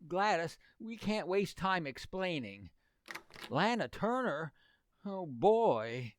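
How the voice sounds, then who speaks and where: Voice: mid-range voice, sing-song, slow pace, background noise. An actor playing an earnest, lovesick, hapless teenage boy in exclamatory, old-time radio comedy style. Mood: dismayed, smitten. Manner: exclamatory, dim-witted, earnest boyish slang.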